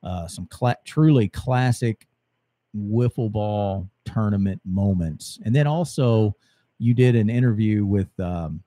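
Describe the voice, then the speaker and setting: Mellow low-voiced man hosting a radio show. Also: takes it slowly, no room sound. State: voice getting hoarse. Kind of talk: casual interview chat.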